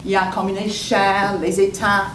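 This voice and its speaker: A woman's voice: mid-range voice, monotone, normal speed. A woman whose first language is English, speaking at a public meeting, hesitant and searching for words with many restarts. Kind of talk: unscripted public comment. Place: meeting hall. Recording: echoey room, distant mic, background noise.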